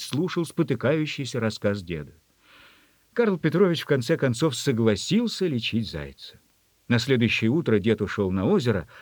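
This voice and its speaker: A man reading a literary story as an audiobook narrator, with a husky voice. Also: medium pitch, no room sound.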